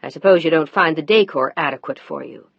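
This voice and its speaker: A husband's voice, pitched lower and clipped, nettled and dry. A woman reads him out. Close, dull, dry sound. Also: expressive, moderate pace, vocal fry, slightly rough, no room sound, heavily acted. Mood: annoyed. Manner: low, curt, annoyed. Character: man, a husband, tired then tender.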